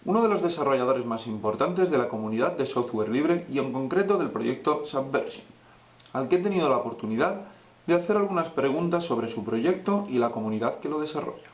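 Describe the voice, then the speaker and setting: A man's voice: mid-range voice, expressive, fast talker. A man presenting an interview in a read, scripted introduction. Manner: reads from a script. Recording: echoey room.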